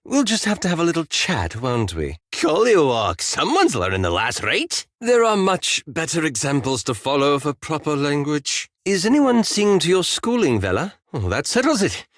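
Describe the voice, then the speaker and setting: An actor playing a cautious, scholarly elf wizard in a video game, speaking in precise, formal phrases with dry politeness. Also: male voice, fairly high pitch, huge pitch swings, moderate pace, hardly any pauses, dry recording. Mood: prim, gently scolding. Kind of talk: video game character dialogue.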